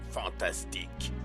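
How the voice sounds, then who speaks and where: A man voicing a scheming, smug villain in a French cartoon, sneering and savouring his words. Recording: loud music behind.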